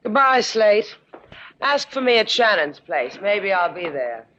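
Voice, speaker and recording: Low-voiced, wry woman sailor. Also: big pitch swings, measured pace, a bit bright, slight background noise. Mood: teasing, devoted. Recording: dull vintage 1950s radio recording.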